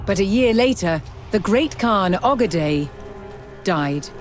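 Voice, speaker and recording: Woman doing dramatic history doc narration, measured w/ deliberate pauses, medium pitch, music playing behind her. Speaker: documentary narrator. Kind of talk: scripted historical documentary narration. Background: background music.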